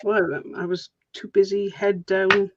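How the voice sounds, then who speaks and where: A Welsh woman chatting casually while she crafts, friendly and quick to laugh. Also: mid-range voice, flat delivery, highs cut off, very noisy. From Wales.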